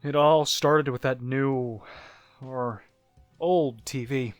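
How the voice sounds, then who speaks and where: A male narrator plays a haunted man telling a confessional horror story about his childhood. He speaks in a halting, reflective way at a middle pitch. The voice is close and dry, with music underneath. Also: fairly even intonation, clear, resonant tone, no room sound, natural voice. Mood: somber, haunted. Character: haunted adult man recalling disturbing childhood memories. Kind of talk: first-person horror story narration, audio drama.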